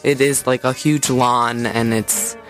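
A woman's voice: a bass voice, steady tone, slow, faint music behind. A woman singer-songwriter speaking as an interview guest, chatting informally and thinking aloud as she goes. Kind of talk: casual interview chat.

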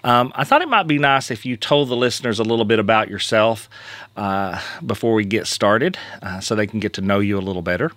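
A man hosting a casual podcast, friendly and joking, his voice a bit hoarse from being under the weather. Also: fairly low pitch, fairly quick, fairly bright tone, dry recording. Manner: friendly, joking, rambling with fillers. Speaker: podcast host.

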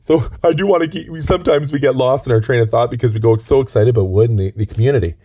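Man, hoarse with a cold, rushed rambling deadpan comic host, low dark voice. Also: a little hiss or hum. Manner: rambling, stammering, earnest. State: raspy from a chest cold. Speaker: comedy co-host. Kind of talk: deadpan improvised comedy chat. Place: outdoors on a lookout.

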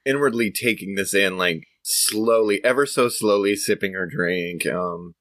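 A man narrating a tabletop roleplaying game casually, in a halting, thoughtful way as he improvises. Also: lowish voice, fairly bright tone, no room sound, studio quality.

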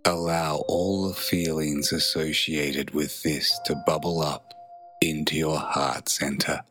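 A man narrating a guided meditation in a soothing, measured way, leaving long pauses between phrases. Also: a bass voice, steady tone, over music.

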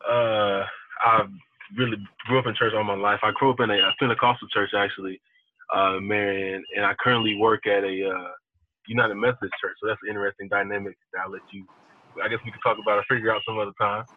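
A man's voice: on the low side, flat delivery, fast talker. Easygoing pastor guy from indiana, phone-quality line, little hiss. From Indiana, USA. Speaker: pastor, podcast guest. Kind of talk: casual chat. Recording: tinny phone-like line with faint noise.

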